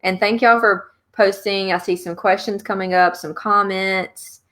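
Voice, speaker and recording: A woman's voice: mid-range voice, steady tone, a little slow, fairly bright tone. A woman from Louisiana with a Louisiana accent, hosting a live online author chat in an upbeat, chatty, rambling way on a podcasting microphone. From Louisiana, USA. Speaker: host.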